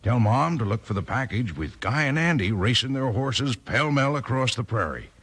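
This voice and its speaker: A man's voice: mid-range voice, lively intonation, moderate pace, a bit bright, husky. A man reading an upbeat 1950s radio advertisement as a commercial announcer.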